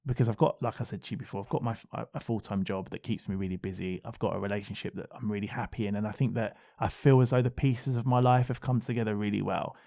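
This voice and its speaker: A man talking as a podcast guest in casual conversation, his voice going husky, rambling with many restarts. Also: on the low side, fairly even intonation, fast talker, few pauses, mellow, dry recording, studio quality.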